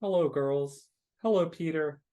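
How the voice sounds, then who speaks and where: A woman's voice: low-pitched, measured pace, warm tone. A woman voicing a kindly, grieving frog father who consoles his family in a live dramatic reading. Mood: gentle sorrow.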